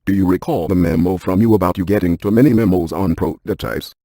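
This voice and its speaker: An unflappable scientist game hero, low and dark in voice with a slightly husky edge. He rattles off technical detail in a precise, clinical deadpan, recorded close and dry. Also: fast talker, dry recording. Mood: clinical, matter-of-fact. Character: brainy, unflappable male scientist who hunts ghosts. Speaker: video game character, ghost-hunting scientist. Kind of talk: scripted game voice lines.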